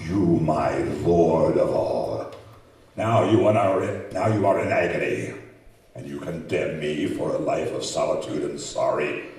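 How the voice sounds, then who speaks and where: A man's voice: a bass voice, darkish tone. A man playing a vengeful, taunting man-made monster in old-time radio horror style, with menacing, grandiose delivery. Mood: bitter, triumphant. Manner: slow, menacing, grandiose declaiming. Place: community center stage, live performance. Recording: roomy live hall, distant mic, faint noise.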